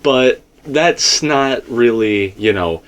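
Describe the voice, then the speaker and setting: A man's voice: mid-range voice, animated, slow pace. A man hosting a casual theory discussion, talking in a rambling, emphatic way. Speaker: host.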